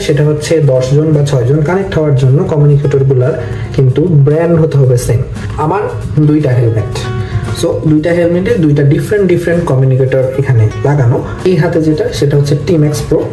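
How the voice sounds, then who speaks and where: A Bangladeshi man explains products in a very dark, mellow, fairly high voice, often dropping in English phrases. He is recorded in an echoey room at some distance from the mic, with music underneath. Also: fairly quick. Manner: mixes English phrases into speech. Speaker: motovlog host and motorcycle rider. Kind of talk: explanatory product review talk to camera. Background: background music.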